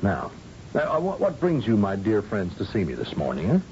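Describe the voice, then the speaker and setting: An actor playing a folksy, jovially patronizing and evasive governor in an old-time radio drama. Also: male voice, fairly low pitch, huge pitch swings, normal speed, a little mellow. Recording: dull, noisy old radio recording.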